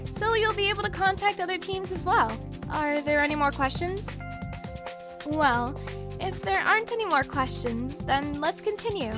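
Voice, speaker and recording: Woman acting a high-voiced composed young briefing officer. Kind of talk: amateur audio drama acting.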